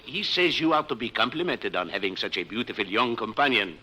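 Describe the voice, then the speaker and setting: Restaurant host, formal, deferential, bright tone, noisy old radio recording. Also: male voice, mid-range voice, lively intonation, a little fast. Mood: polite, apologetic. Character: polite, apologetic French restaurant host translating compliments. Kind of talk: 1950s radio comedy acting.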